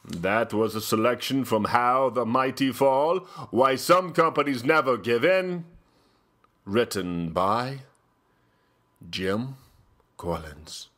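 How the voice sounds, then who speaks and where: A man playing a pompous, theatrical aristocratic gentleman who hosts mock-highbrow dramatic readings with grand, deliberate, drawn-out phrasing. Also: lively intonation. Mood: stately, formal. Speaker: host.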